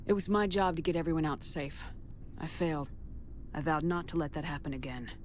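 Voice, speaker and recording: A woman playing a resolute, caring military commander in a video game, firm, measured and direct. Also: sits low, darkish tone, natural voice. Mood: solemn. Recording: faint background ambience noise.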